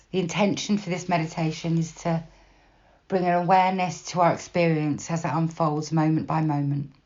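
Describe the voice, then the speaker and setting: Female meditation guide, low register, soft and unhurried delivery, plenty of pauses, slightly dull recording. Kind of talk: guided body scan meditation, gentle instruction.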